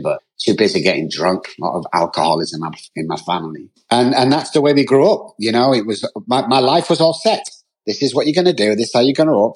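A man's voice: on the low side, husky, rough recording. A middle-aged man with a Manchester accent, a podcast guest telling his life story in an open, rambling, earnest way. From England.

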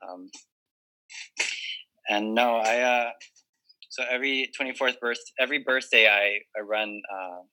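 A man's voice: medium pitch, a little slow, pauses often, bright, forceful tone, slight background noise, rough recording. A man in his twenties from Hawaii, a podcast guest on a video call, talking earnestly and reflectively with many fillers. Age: 20s. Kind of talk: casual interview chat.

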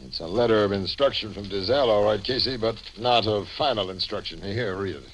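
A man acting a gruff police captain in an old radio crime drama. His voice is fairly low, and he is brisk, commanding and slangy, all no-nonsense business. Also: over music, lightly acted.

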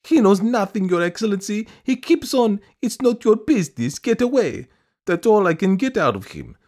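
A man voicing a whining, stubborn middle-aged woman who pleads her case in a shrill, insistent wail from a storybook. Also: big pitch swings, darkish tone. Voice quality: shrill, piping. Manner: wailing, insistent, rapid complaining.

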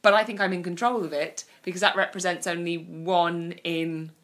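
A woman's voice: on the low side, fairly even intonation, normal speed, a bit bright. A woman co-hosting a casual chat, talking with eager emphasis about design. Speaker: podcast co-host.

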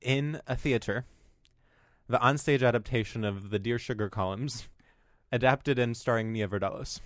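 A man with a nasal voice, co-hosting a comedy podcast and teasing his friends in deadpan banter. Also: medium pitch, normal speed, no room sound, clean studio sound. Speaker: podcast co-host.

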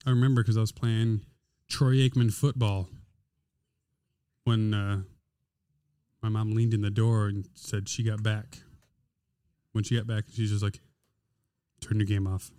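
A man with a naturally deep voice, co-hosting a casual podcast chat on a studio microphone, easygoing and rambling with fillers. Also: level tone, measured pace, long pauses, dark tone, clear, resonant tone, no echo. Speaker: podcast co-host.

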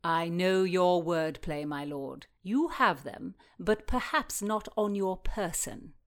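A woman voicing a resolute, sharp-witted noblewoman in a historical story, formal and commanding in manner. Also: on the low side, animated, takes it slowly. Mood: firm, wry. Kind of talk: dramatized historical fiction reading.

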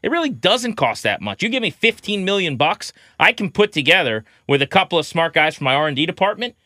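Bright-voiced man, sports podcast co-host. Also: fairly high pitch, big pitch swings, fairly quick, no room sound, studio quality. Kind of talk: casual baseball talk.

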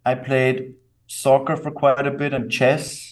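A young adult man from Austria, bilingual in English and German, answering interview questions in a thoughtful, measured way with fillers and restarts. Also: medium pitch, little pitch movement, distant mic. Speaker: company co-founder, guest.

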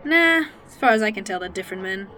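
A woman's voice: mid-range voice, lively intonation, fast talker, sharp tone, a little hiss or hum. A woman playing a wry, protective time-traveling mechanic in an audio drama.

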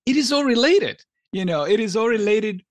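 A man from Greece co-hosting a podcast in casual chat, talking haltingly with many restarts and fillers. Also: high voice, expressive, no echo. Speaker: podcast co-host.

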